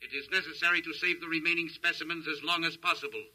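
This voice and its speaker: An actor playing an emotionless, logical alien captor with stilted, formal phrasing in 1950s radio science-fiction style. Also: male voice, fairly high pitch, steady tone, fast talker, pushed and bright, rough recording. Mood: cold, insistent. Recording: vintage 1950s radio recording.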